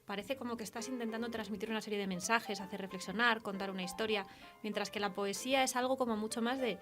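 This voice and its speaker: A woman's voice: mid-range voice, steady tone, quick pace, over music. A woman with a Castilian Spanish accent in a casual literary conversation, explaining her thoughts reflectively with examples.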